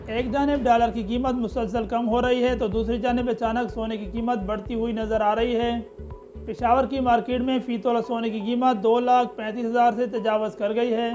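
Very high-pitched young Pakistani male news anchor. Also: a little mellow. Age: young adult. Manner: formal. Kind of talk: scripted news reading. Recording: dull lo-fi sound, music underneath. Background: news music bed.

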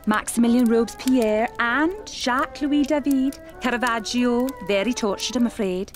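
A woman playing a seasoned, genteel spiritualist medium in a radio play, speaking in a measured, dramatic way. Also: a little high, faint music behind, natural voice. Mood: wry, reminiscing.

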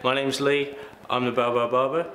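A man's voice: medium pitch, flat delivery, moderate pace, fairly bright tone, slight background noise. A young man, reviewing a hair product in a casual, chatty vlog with plenty of ums and uhs. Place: small room indoors. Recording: echoey room with faint ambience.

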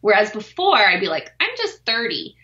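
Bright-voiced forty-year-old woman, candid. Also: medium pitch, sing-song, moderate pace, echoey room, lo-fi sound. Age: 40s. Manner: open, self-deprecating, laughs easily. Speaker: interview guest, weightlifter. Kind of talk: candid podcast interview.

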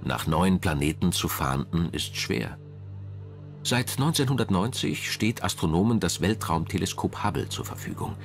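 A man reading scripted documentary narration in a measured, dramatic manner. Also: sits low, very animated. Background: music.

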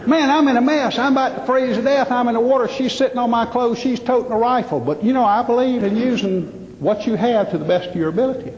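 A Southern American man performs folksy comic storytelling on stage in a high-pitched voice. He pauses and stretches out his punchlines. The sound is muffled and rings with the long echo of a big hall. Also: darkish tone. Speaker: professional humorous speaker. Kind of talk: stand-up storytelling to a live audience. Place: large indoor hall with a live audience. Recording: muffled, echoey hall PA with some noise.

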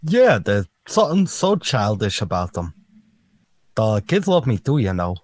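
An actor playing a cheerful, dim-witted male tavern regular with a guileless, slow-witted delivery in a 1940s radio comedy style. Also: fairly low pitch, sing-song, darkish tone, no room sound. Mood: dopey.

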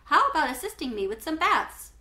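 A big, good-natured man from a children's storybook, voiced by a woman reader. He sounds upbeat and encouraging, gently teasing, in a bright, higher-pitched delivery. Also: very animated, fairly quick, heavily acted. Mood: friendly teasing. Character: friendly, reassuring, teasing big grown man.

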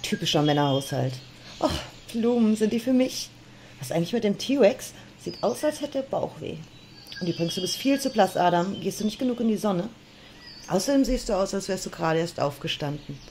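A woman's voice: mid-range voice, lively intonation, fairly quick, dark tone, a little hiss or hum, lightly acted. A woman acting a bossy, teasing and curious Eve in a comedic audio drama. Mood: mocking. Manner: sassy, teasing.

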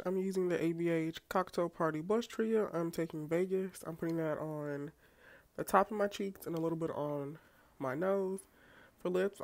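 A woman's voice: low-pitched, gravelly, darkish tone. A young woman with a congested, stuffy voice, chatting casually through a makeup tutorial with self-mocking asides. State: congested from a cold. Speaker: beauty vlogger. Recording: faint background music.

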